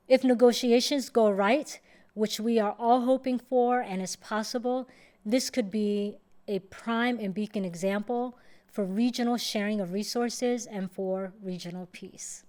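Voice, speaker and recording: A woman from Somalia, hosting a video and answering viewer questions in a measured, step-by-step way. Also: medium pitch, a little mellow. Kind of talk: explanatory talk to camera.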